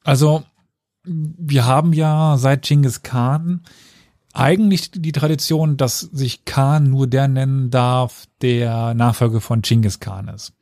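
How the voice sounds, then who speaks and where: A man's voice: mid-range voice, steady tone, slow pace, darkish tone, no echo. A man hosting a casual history podcast, explaining at length with fillers and dry self-mocking asides. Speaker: podcast host telling history.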